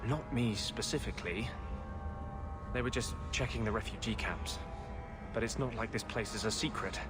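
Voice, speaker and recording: A man's voice: on the low side, moderate pace, lightly acted. Man playing an aggrieved rebel mage, music behind. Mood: weary, agitated. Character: troubled, embittered rebel mage healer in hiding. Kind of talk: dramatic video game dialogue.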